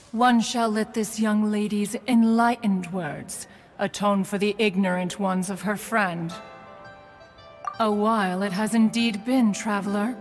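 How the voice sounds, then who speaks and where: A woman voicing a haughty, dignified immortal bird spirit in a video game, pompous and archaically formal, with reverb on the voice. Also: mid-range voice. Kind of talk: theatrical video game voice acting. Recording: long reverb, faint music.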